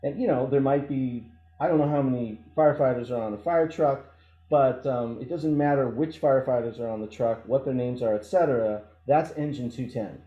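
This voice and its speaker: A man giving an informal training presentation as an instructor, explaining things haltingly and thinking aloud through examples. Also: medium pitch, mellow, soft background music. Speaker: emergency radio trainer. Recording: dull, roomy, echoey audio.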